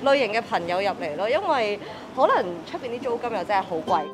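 Relaxed Hong Kong Cantonese woman. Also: medium pitch, quick pace, over music, rough recording. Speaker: interviewee. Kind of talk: casual interview chat. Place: indoors, small living room.

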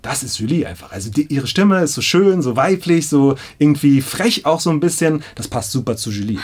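Higher-voiced enthusiastic man, casual talk. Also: expressive, a little fast, darkish tone, breathy edge. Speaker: audio drama producer and casting director. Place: small home studio indoors.

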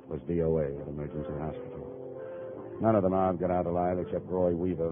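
A low, terse American male voice from an actor plays a tough, world-weary detective telling his own case. It is 1950s radio drama, muffled like an old broadcast, with music behind. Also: natural voice. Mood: grim, resolute. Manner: terse, hard-boiled. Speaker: lead actor and narrator.

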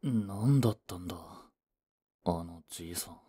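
Guy acting a proud but regretful young boyfriend in a voice drama, mellow n breathy, slow talker. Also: low voice. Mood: regretful, brooding. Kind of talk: romantic voice drama acting with introspective narration.

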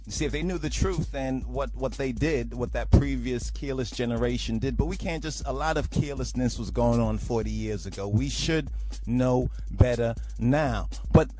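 Male podcast host, mid pitch, preachy rambling commentary. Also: mellow. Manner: rambling, repeats "you understand?".

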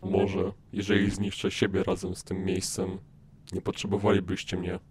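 A deep-voiced, weary old cartoon skeleton, acted by a man, speaks with solemn formality. The intonation stays flat and subdued, full of self-reproach. A faint rumbling noise sits under the voice. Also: normal speed, lightly acted. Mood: sorrowful, defeated. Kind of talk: animated fan dub acting.

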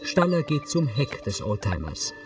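A man's voice: mid-range voice, big pitch swings, measured pace, far from the mic, music in the background. A man reading scripted scene descriptions as a neutral narrator for a television film.

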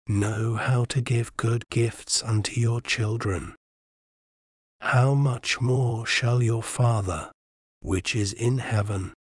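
A man reading scripture aloud in a measured, soothing bedtime manner, with long pauses between phrases. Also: on the low side, no room sound, clean studio sound. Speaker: scripture reader. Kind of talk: slow bedtime Bible reading.

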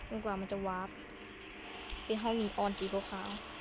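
A woman hosts a game playthrough in a casual commentary style. Her pitch sits in the middle for a female voice. The recording is clearly noisy, with game ambience behind her. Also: flat delivery, moderate pace. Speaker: gaming video host.

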